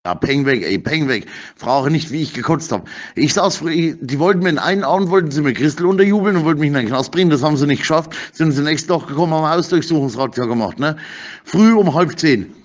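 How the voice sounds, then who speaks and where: A man's voice: a little high, animated, fast talker. A man rambling in an agitated, slangy way, stammering and repeating words in a voice message.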